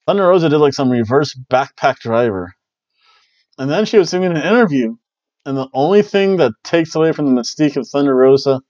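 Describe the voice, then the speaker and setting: A middle-aged man rambles through a wrestling recap from his home room, tossing in jokes and playful exclamations. His voice sits at an ordinary middle pitch. The headset audio is dull and lo-fi, with a dry, close sound. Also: a little mellow, dry recording. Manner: chatty, jokey, loose play-by-play with playful exclamations. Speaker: wrestling review host. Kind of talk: casual review chat. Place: small home room with bookshelves, indoors. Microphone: headset mic on a webcam call.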